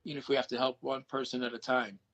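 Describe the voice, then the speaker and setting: A man's voice: medium pitch, flat delivery, fast talker, fairly bright tone, lo-fi sound. A man on a video call chatting casually about paranormal investigation, rambling with lots of restarts and repeated words.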